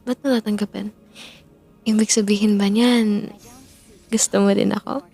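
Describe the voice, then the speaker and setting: A woman's voice: fairly high pitch, husky, heavily acted. A woman playing a teasing, giggly best friend. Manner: teasing, giggly, flustered backtracking. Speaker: ASMR roleplay performer. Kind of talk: audio roleplay, chatting while gaming.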